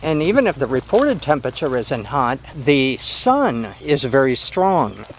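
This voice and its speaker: A woman's voice: rumbling low, sing-song, unhurried, low-quality recording. A woman in her sixties giving an informal, emphatic nature explanation to camera, like a farm vlogger telling a story. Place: outdoors among trees on a farm. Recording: clear outdoor background noise. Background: outdoor ambience.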